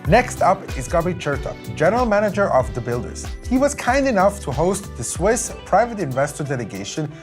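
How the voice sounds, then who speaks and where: A man's voice: high-pitched, sing-song, brisk, hardly any pauses, over music. A man hosting a vlog, narrating in an upbeat, promotional way. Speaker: vlog host.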